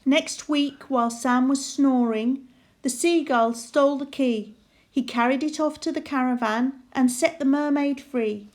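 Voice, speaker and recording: A woman narrating a rhyming children's storybook aloud in a measured, sing-song way. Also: high-pitched, a little mellow.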